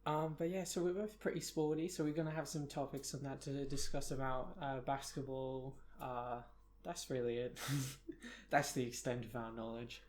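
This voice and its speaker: A man's voice: medium pitch, little pitch movement, moderate pace, darkish tone. A young man from South Africa with a British accent, co-hosting a casual podcast chat in a rambling, self-deprecating way with many fillers. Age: young adult. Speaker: podcast co-host.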